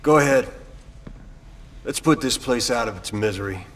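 An actor playing a jaded, blunt American outlaw biker gang leader in a video game cutscene, foul-mouthed and sardonic. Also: male voice, lively intonation, moderate pace, fairly bright tone. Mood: weary, resolved. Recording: noisy, street and vehicle rumble behind.

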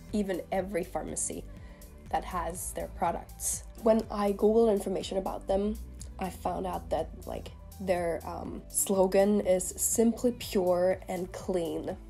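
A woman's voice: mid-range voice, dark tone. A young woman in her twenties, a beauty vlogger chatting casually to the camera while a little sick with a cold, rambling with lots of fillers. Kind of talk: casual makeup review to camera. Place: small room indoors.

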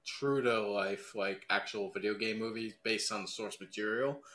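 A man's voice: fairly low pitch, moderate pace, bright, forceful tone, roomy sound. A man co-hosting a podcast debate, putting questions in loose, casual chat.

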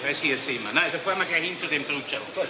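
An actor playing a genial, talkative middle-aged police inspector with a Viennese accent in a radio crime drama. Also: male voice, fairly high pitch, very fast, over loud music, close to an everyday voice, low-quality recording. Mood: chatty. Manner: chatty, easygoing, lively. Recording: hissy old radio recording.

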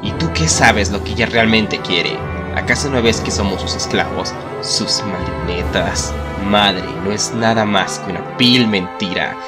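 A man voicing a bitter, menacing dark skeleton brother with a sneering, scornful, dramatic delivery for a comic dub. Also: lowish voice. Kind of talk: dramatic fan-dub comic acting in Spanish.

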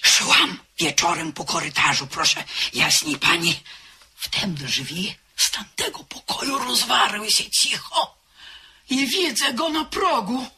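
An actress in a radio drama playing a spooked servant woman with a husky voice and a dramatic delivery. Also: mid-range voice, takes it slowly, pushed and bright, full character voice. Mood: eerie, frightened. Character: spooked female servant recounting an eerie apparition.

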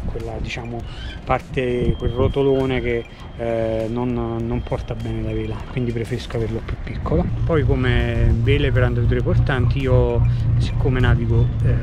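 A middle-aged man giving a casual tour of his sailboat, hesitant, with many fillers and restarts, filmed outdoors in a harbor on a handheld camera. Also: medium pitch. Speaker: sailor giving a boat tour. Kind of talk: casual walkthrough vlog. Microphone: handheld action camera at arm's length.

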